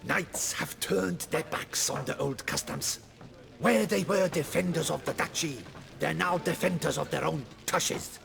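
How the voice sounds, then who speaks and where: An actor voicing a pious, scornful commoner in a fantasy video game, preachy and accusing, with a creaky voice. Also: male voice, a little high, measured pace, a bit bright, soft background music, exaggerated voice. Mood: righteous scorn. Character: pious, scornful male commoner railing at corrupt knights. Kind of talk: video game cutscene acting.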